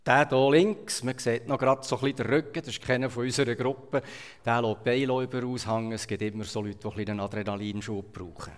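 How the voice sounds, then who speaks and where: A man from Switzerland with a Swiss German accent, preaching a sermon in a storytelling manner, swinging between loud emphasis and quiet asides. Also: medium pitch, steady tone, fast. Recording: reverberant hall.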